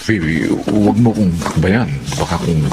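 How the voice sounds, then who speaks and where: A man's voice: sits low, measured pace, noisy background. A man playing a loyal, good-natured but uneasy husband in a Filipino radio drama, speaking earnestly and expressively.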